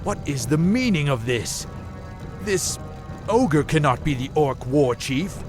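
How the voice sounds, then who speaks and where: A man's voice: fairly high pitch, expressive, takes it slowly, music in the background. A man voicing a contemptuous male human assassin in a video game cutscene. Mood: scornful. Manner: haughty and contemptuous. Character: sneering male human assassin posing as an envoy.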